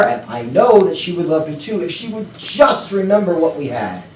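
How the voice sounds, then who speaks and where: An actor playing a young, stubborn, lovesick ex-boyfriend with a florid theatrical manner in a comedy play reading. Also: male voice, mid-range voice, natural voice. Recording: distant, echoey room with faint noise.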